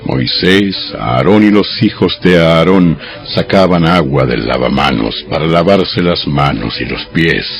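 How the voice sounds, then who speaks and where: A man narrating dramatized scripture from a script in a solemn, deliberate storytelling manner. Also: extremely low pitch, creaky. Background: music.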